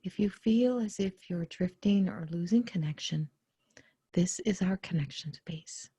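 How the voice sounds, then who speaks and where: A woman leading a guided meditation in a soft, breathy, often near-whispered voice, gently and without hurry, pausing between short phrases. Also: fairly low pitch, no echo. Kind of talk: guided meditation, soothing spoken instruction.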